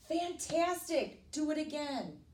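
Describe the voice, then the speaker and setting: A woman's voice: on the low side, takes it slowly, roomy sound, recorded from a distance. A woman voicing a bold, clever young princess from a storybook, with emphatic, goading exclamations. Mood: flattering, goading.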